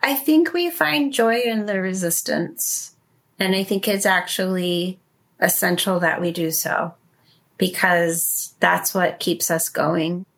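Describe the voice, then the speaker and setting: A woman, an activist and healer, reflecting in an interview in an earnest, measured way. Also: lowish voice, creaky, a bit bright.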